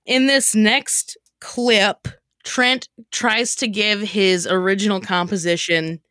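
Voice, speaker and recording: Slow-paced woman hosting a casual show. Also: mid-range voice, steady tone, bright, forceful tone, no room sound, studio quality. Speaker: podcast host and sketch writer. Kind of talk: casual chat, introducing comedy clips.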